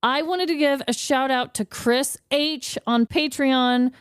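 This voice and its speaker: A woman co-hosting a podcast in casual chat, animated and quick to laugh. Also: high voice, clear, resonant tone, no echo, studio quality. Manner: chatty and animated, laughs easily. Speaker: podcast co-host.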